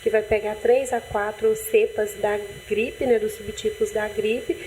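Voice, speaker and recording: A woman doctor with a Brazilian accent, calmly explaining health matters in a TV interview, often restarting her sentences. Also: medium pitch, measured pace, slight background noise. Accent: Brazilian Portuguese. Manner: calm, explanatory, with frequent restarts and "né". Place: TV studio.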